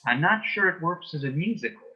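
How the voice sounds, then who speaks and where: A man answering as an interview guest in a matter-of-fact, measured way, telling small anecdotes in conversation. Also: on the high side, lively intonation, breathy edge. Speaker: interview guest, author and playwright. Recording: dull, band-limited sound.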